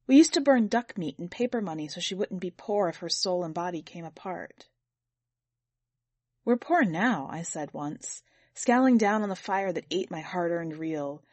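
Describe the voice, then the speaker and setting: A woman's voice: fairly low pitch, lively intonation, moderate pace, a little mellow, no echo. A woman narrating a first-person literary short story from a script. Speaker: podcast editor and story reader.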